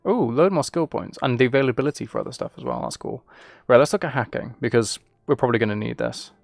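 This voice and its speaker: Casual gravelly-voiced man streaming a game. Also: mid-range voice, fast, no echo, clean studio sound. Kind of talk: casual live gameplay commentary. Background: video game music.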